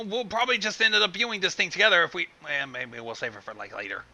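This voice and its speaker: A man's voice: sits high, fast talker, bright, forceful tone. A man co-reading on a livestream, chatting in casual banter. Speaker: co-reader on a livestream.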